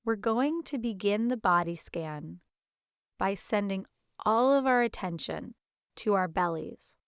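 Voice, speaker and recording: A woman leading a guided meditation as instructor, with a gentle, soothing, unhurried manner. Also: medium pitch, lively intonation, clean tone, no echo, clean studio sound.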